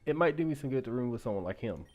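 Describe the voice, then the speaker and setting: A young man with a deep voice reading a visual novel aloud and breaking off into playful asides. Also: expressive, very fast, darkish tone. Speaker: gaming YouTuber.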